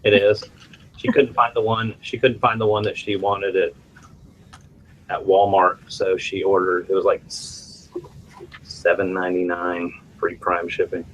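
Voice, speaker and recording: A man's voice: low-pitched, flat delivery, measured pace, a bit bright. Man chatting casually in a noisy room. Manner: rambling, with many restarts and fillers. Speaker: vlogger. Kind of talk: casual home chat. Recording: noisy home recording.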